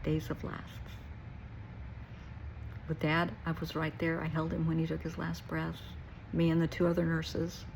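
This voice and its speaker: A woman's voice: sits low, completely flat delivery, unhurried, vocal fry, pauses often, darkish tone, slight background noise, low-quality recording. A middle-aged woman giving a reflective personal talk to listeners, earnest and emphatic, repeating short phrases for weight. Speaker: therapist and nurse.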